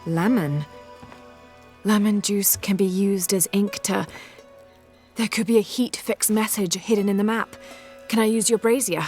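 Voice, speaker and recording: An actress playing a composed, curious young archaeologist adventurer in a video game, speaking in a measured, polite, earnest way. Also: female voice, mid-range voice, steady tone, darkish tone, soft background music, natural voice. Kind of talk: video game cutscene acting.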